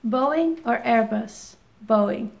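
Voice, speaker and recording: A woman's voice: fairly high pitch, extremely slow, gravelly. Young Dutch woman, echoey room. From Netherlands. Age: 20s. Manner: reads questions aloud, answers with ums and restarts. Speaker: airline pilot vlogger. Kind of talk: casual Q&A vlog.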